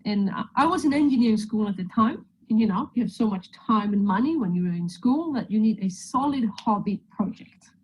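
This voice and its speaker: A woman's voice: fairly high pitch, animated, brisk, darkish tone, a little hiss or hum, rough recording. Swedish-accented woman presenting over video call. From Sweden. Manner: friendly, joking, slides through a talk. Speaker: guest presenter. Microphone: video call microphone.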